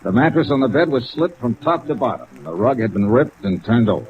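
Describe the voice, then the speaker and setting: A man's voice: mid-range voice, lively intonation, brisk, lightly acted. An American actor playing a terse, wry insurance investigator who narrates his case in a clipped 1950s radio detective style. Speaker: lead actor in detective serial. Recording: tinny 1950s radio, music and rain behind.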